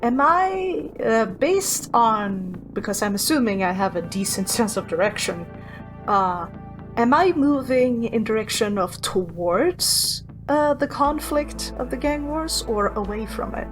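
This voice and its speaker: Slow-speaking woman playing a tabletop game. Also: fairly high pitch, expressive, music in the background. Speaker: guest player in a tabletop game. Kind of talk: describing her character's actions and asking questions.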